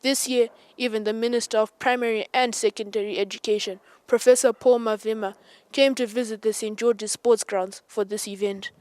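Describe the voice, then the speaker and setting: A woman's voice: fairly high pitch, fairly even intonation, normal speed, darkish tone, no echo. A woman reading scripted newsreel narration.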